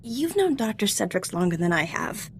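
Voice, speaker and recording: A woman's voice: mid-range voice, sing-song, normal speed, a little hiss or hum. An actress playing a modest, eager young female Jedi apprentice in a video game, earnest and a little hesitant in a conversational way. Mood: friendly, sheepish. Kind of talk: scripted video game voice acting.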